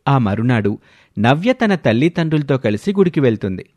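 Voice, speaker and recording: A man's voice: medium pitch, very animated, normal speed, darkish tone. A man reading a scripted dramatic story as its narrator.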